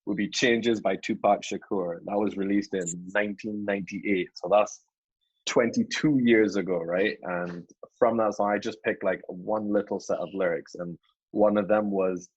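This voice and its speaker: Casting: young man, husky low voice, earnest guest, dull lo-fi call sound. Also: fairly even intonation, normal speed, dry recording. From Caribbean. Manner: earnest, repeats words while thinking. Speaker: podcast guest. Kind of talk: casual podcast discussion.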